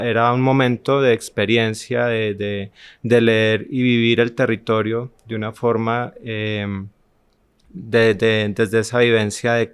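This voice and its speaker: A man's voice: fairly low pitch, clear, resonant tone, no echo. A man in his forties from Armenia, Colombia, reminiscing as a podcast guest with frequent hesitations and restarts. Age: 40s. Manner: hesitant, many restarts and fillers. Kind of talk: conversational interview, reminiscing.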